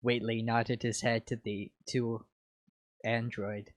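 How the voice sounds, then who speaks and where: A man's voice: medium pitch, little pitch movement, takes it slowly. A man co-hosting an informal fan fiction reading, mixing casual chat with amateur reading aloud.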